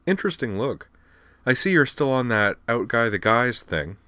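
Casting: smug young woman read by a man, brash and teasing, lifted pitch, storybook narration style. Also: sing-song, moderate pace, bright, forceful tone, no echo. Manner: sarcastic, casual slangy talk.

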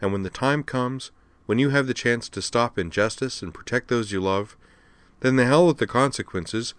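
A man voicing a brash, boisterous woman fleet admiral who shouts and jokes. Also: mid-range voice, normal speed, smooth, dry recording, pitched above the performer's own voice, natural voice. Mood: rousing.

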